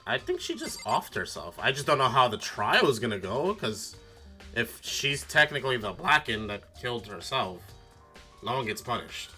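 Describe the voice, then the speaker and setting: A man's voice: medium pitch, very animated, normal speed, sharp tone, faint music behind. A man streaming a game with casual commentary, reasoning aloud in a blunt, speculative way with casual swearing. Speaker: streamer playing a mystery game.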